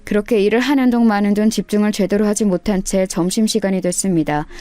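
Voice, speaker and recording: A woman's voice: medium pitch, steady tone, quick pace, dark tone, dry recording, faint music behind. A woman narrator reading a scripted first-person story in the style of a radio tale.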